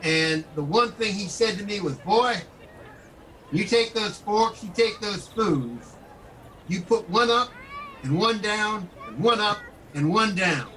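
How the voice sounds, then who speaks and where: A man playing an earnest, folksy, nostalgic street interviewee in an improv comedy sketch, telling his tale deliberately from a distant mic. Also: sits high, animated, fairly bright tone, exaggerated voice. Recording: distant mic, faint background noise.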